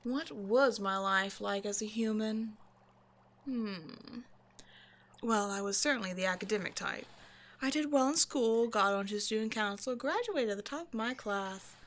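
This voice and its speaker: A woman voicing a cold, century-old female vampire scientist in an audio roleplay, speaking in a dry, measured, condescending way straight to the listener. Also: mid-range voice, fairly bright tone, natural voice. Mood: musing. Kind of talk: scripted ASMR roleplay monologue, speaking to a listener.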